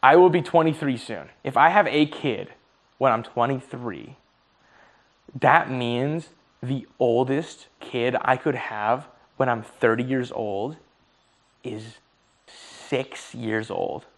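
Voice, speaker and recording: Young man, early twenties, mid pitch, casual vlog monologue, thinking aloud, swears, slightly worn voice. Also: takes it slowly. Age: 20s. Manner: musing aloud, swears freely, laughs at own slips. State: voice starting to tire. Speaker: vlogger.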